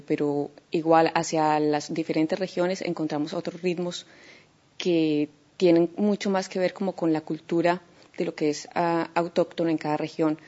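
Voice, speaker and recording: Colombian woman, lower voice, unhurried and reflective with frequent pauses; muffled lo-fi capture. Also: darkish tone. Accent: Colombian Spanish. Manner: thoughtful, hesitant with many fillers and restarts. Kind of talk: unscripted personal account. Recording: dull lo-fi recording.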